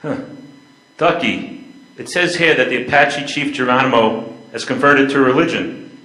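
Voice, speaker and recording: An older man reads a joking husband in a stage play. His voice is bright and pointed, his delivery dry and teasing. The room is clearly echoey. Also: medium pitch, moderate pace, close to an everyday voice. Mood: wry. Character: wry, joking husband on holiday. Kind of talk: amateur readers theater.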